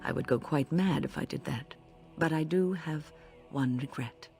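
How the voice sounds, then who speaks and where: A woman playing an elderly mage in a video game, a regretful and reflective former mentor with a measured delivery. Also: low-pitched, vocal fry, darkish tone, faint music behind. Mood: reflective, grave. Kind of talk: video game character dialogue.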